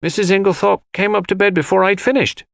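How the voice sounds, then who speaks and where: A man's voice: high-pitched, fairly even intonation, fast, gravelly, fairly bright tone, no echo, clean studio sound, pitched above the performer's own voice. A man voicing a young housemaid, a deferential, eager and easily flustered servant in an audiobook mystery.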